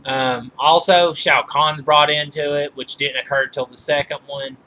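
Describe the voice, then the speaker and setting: A man giving informal film trivia commentary as a reviewer, halting and often correcting himself mid-sentence. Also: on the high side, fairly even intonation, bright, forceful tone, a little hiss or hum, low-quality recording. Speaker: movie reviewer.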